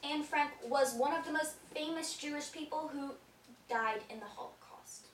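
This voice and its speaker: A woman's voice: high-pitched, normal speed. A young woman co-anchoring an amateur mock newscast in a theatrical, scripted style. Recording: echoey room, distant, some noise.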